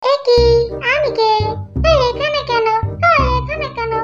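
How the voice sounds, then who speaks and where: A woman's voice: very high voice, slow pace, voice pushed up. A woman voicing a foolish, nosy cartoon crow who talks in a repetitive, mocking way. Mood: confused, mocking. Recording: muffled sound with music behind.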